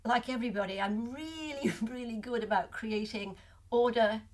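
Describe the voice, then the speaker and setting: A woman's voice: fairly high pitch, normal speed. An older woman with a Liverpool accent, a guest in a casual interview, talking reflectively with many restarts. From Liverpool, England.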